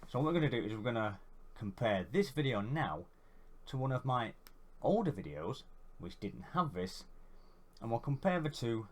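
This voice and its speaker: A man's voice: medium pitch, sing-song, moderate pace. A man with a head cold and a congested voice, giving a rambling, casual how-to vlog. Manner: rambling, chatty, self-deprecating. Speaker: YouTuber.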